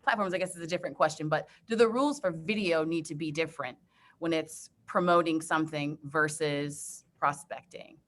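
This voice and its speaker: A woman's voice: low-pitched, brisk, a bit bright. A woman asking a guest a question on a video call, giggly and upbeat. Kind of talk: casual video-call chat.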